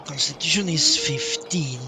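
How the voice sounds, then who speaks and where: A man streaming a video game with casual live commentary, halting and thinking aloud as he plays. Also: fairly high pitch, lively intonation, recorded from a distance, noisy background. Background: video game music.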